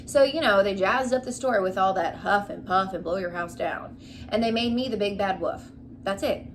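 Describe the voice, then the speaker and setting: A woman's voice: mid-range voice, moderate pace, close to an everyday voice. Lady doing a wronged wolf telling his side of a kids story, chatty n dramatic, noisy home audio. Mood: indignant. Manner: conversational, dramatic, emphatic storytelling. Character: aggrieved, self-justifying male wolf telling his own story. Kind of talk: children's storybook read-aloud.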